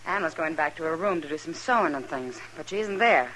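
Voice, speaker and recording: A woman's voice: low voice, animated, brisk, crowd noise. An actress playing a worldly, loyal American frontier saloon woman in an old radio western, frank and familiar. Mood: worried. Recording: noisy old-time radio recording.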